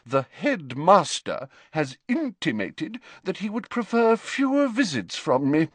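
A man voicing an eccentric, haughty middle-aged woman seer, speaking in a high, theatrical, mystical manner. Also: sing-song, measured pace, no room sound. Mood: ominous.

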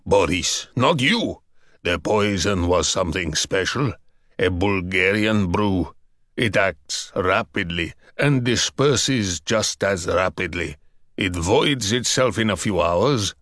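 A man's voice: low voice, lively intonation, slow pace, a bit bright, no room sound, clean studio sound, pitched below the performer's own voice, full character voice. Man doing a growling old general. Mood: menacing. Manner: growling, snapping. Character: gruff older male general, domineering and scornful.

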